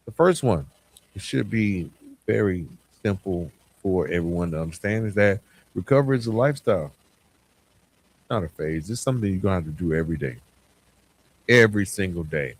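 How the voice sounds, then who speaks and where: A man's voice: deep voice, expressive, a little fast, long pauses. A man hosting a solo podcast in a reflective, conversational and earnest motivational way, repeating phrases for emphasis, close to the microphone. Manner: conversational, frequent rhetorical questions and repetition for emphasis. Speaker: podcast host.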